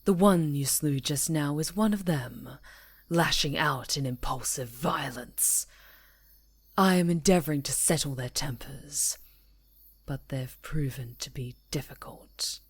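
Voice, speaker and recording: A woman playing a proud, solemn female werewolf chieftain in an ASMR roleplay, speaking gravely and formally in a husky, breathy voice. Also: low-pitched, dry recording. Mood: stern, weary. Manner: slow, grave, formal pronouncements.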